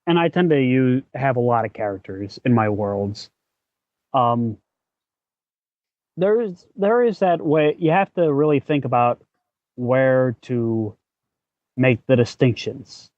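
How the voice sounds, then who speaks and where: A man hosting a podcast in casual, explanatory talk, often halting as he thinks aloud. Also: mid-range voice, no echo, phone-quality sound. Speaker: podcast host; working actor.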